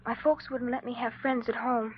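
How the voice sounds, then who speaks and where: An actress playing a miserable, ashamed teenage girl in a 1950s radio drama, speaking in a hesitant, plaintive, breathy way. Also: fairly high pitch, flat delivery, brisk, slight background noise, natural voice. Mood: sad, bewildered. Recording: dull lo-fi 1950s radio recording.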